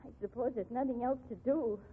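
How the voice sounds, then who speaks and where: An actress playing an earnest, pleading office bookkeeper being blackmailed in a 1950s radio drama. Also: female voice, fairly high pitch, a little fast, a little hiss or hum, lightly acted. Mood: resigned. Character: accused female office bookkeeper, desperate blackmail victim. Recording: tinny band-limited 1950s radio recording.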